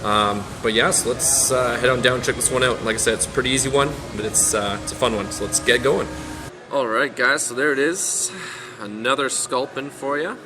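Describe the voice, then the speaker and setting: A young man with a hoarse voice demonstrating fly tying at a shop bench, talking casually with lots of ums. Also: medium pitch, a little fast, over music. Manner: relaxed, chatty, lots of ums and uhs.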